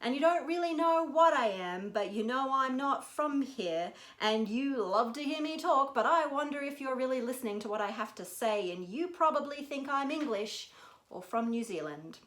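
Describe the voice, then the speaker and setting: A woman from Australia with a softened Australian accent, performing a lyrical, reflective personal essay at a live reading. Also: sits high, lively intonation, normal speed. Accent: Australian, softened by years in America. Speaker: storyteller. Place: bookshop café event room. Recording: echoey room.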